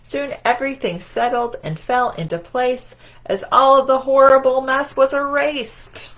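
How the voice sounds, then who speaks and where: A woman voicing a proud, excited schoolgirl inventor in an animated storybook reading. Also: high voice, unhurried, voice pushed up, poor audio.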